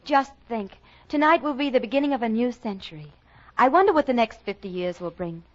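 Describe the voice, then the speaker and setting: Woman playing high-voiced, wide-eyed young sweetheart. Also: lively intonation, brisk, close to an everyday voice. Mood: genteel. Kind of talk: 1940s radio comedy sketch acting. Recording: dull old-time radio recording with music.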